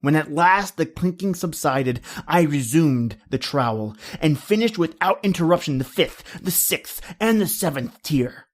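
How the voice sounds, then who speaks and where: Gothic horror radio male actor as vengeful aristocrat narrator: cold, dramatic, measured delivery, lighter-pitched male voice. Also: animated. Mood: cold, grim. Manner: theatrical, deliberate, dramatic storytelling. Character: cold vengeful nobleman narrating his murder by walling-in. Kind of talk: dramatic horror story reading.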